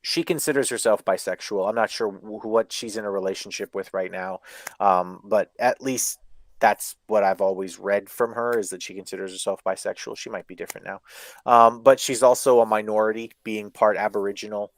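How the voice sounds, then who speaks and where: A man's voice: lowish voice, steady tone, normal speed. A man co-hosting a live podcast, talking emphatically and at length, with casual swearing and lots of fillers. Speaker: podcast co-host.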